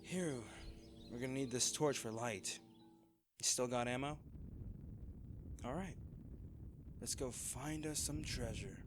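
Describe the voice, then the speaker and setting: A man's voice: medium pitch, takes it slowly, long pauses, over music, close to an everyday voice. A man playing a cocky, wisecracking treasure hunter in an audio roleplay, with a wry, flippant, conversational delivery. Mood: hushed, curious. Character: cocky, wisecracking male treasure hunter trekking through jungle ruins. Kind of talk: dramatic audio roleplay addressed to a companion.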